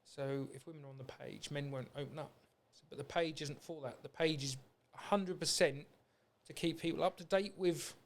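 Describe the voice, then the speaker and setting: A man's voice: fairly high pitch, fairly even intonation, moderate pace, few pauses. A British man hosting a podcast in a casual, rambling, anecdotal way. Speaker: podcast host. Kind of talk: casual chat.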